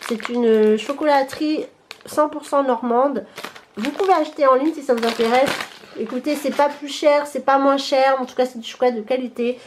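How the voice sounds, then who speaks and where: A woman's voice: sits high, normal speed, darkish tone, a little hiss or hum. A woman in her thirties from Bordeaux with a blocked nose, chatting casually to camera at home about her gifts, rambling with many fillers. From Bordeaux, France. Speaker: vlogger. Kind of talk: casual haul chat to camera. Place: home room with shelves and Christmas decor, indoors.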